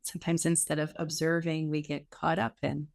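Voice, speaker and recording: A woman teaching guided meditation in an unhurried, reassuring, gently instructive way with pauses. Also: low-pitched, little pitch movement, creaky.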